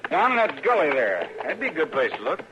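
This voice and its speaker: On a dull, noisy vintage radio recording, a young cowhand speaks in a fairly high voice. He sounds uneasy and insistent, covering it with false helpfulness. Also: very animated, a little fast. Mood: uneasy, coaxing. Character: young ranch hand, nervously evasive, falsely helpful. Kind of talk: old-time Western radio drama acting.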